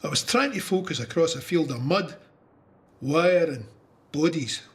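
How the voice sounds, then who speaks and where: A man's voice: a little high, animated, measured pace, a bit bright. A man playing a young Scottish soldier who recounts trench warfare in a candid, wry, conversational way for an audio drama. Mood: uneasy, reflective. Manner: conversational storytelling. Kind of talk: first-person audio drama narration.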